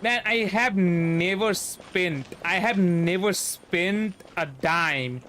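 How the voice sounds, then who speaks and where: High-voiced young Indian-accented male streamer. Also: bright, forceful tone, a little hiss or hum. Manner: halting, repeats words and trails off. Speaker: game streamer. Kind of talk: casual livestream chat while playing. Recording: voice over faint game music. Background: faint game music.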